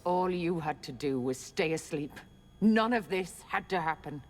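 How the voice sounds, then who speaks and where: A woman's voice: sits low, animated, unhurried, slight background noise. An actress playing an embittered, despairing female fanatic in a dramatic video game scene, speaking with anguished accusation. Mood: bitter despair. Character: embittered, fanatical woman scientist, indoctrinated and despairing.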